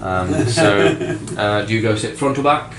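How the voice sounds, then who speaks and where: A man narrating a tabletop game as game master in a casual, halting way, searching for his words. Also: lowish voice, a bit bright, a little hiss or hum. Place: indoor room, group around a game table. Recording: roomy, distant mic with music behind.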